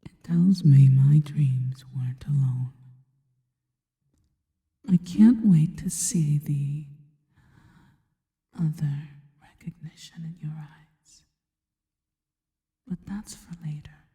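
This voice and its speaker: A woman playing a seductive, sinister spider-woman in an ASMR roleplay, whispering breathily and slowly with intimate, formal, adoring deliberation. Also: sits low, warm tone. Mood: tender, longing. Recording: long reverberant echo.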